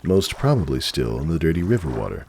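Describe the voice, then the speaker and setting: Low-pitched, expressive male story narrator. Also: quick pace, vocal fry, slight background noise. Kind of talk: scripted dramatic story narration.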